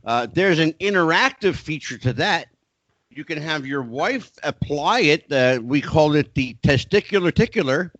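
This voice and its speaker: A man hosting a podcast panel who talks excitably and keeps jumping in with questions. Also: a little high, measured pace, dry recording, muffled recording. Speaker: podcast host. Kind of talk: casual podcast panel chat.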